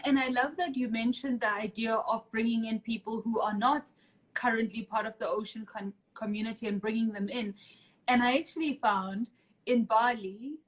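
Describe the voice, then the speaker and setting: A woman's voice: on the high side, low-quality recording. A woman hosting a casual interview in an effusive, emphatic storytelling way. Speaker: podcast host.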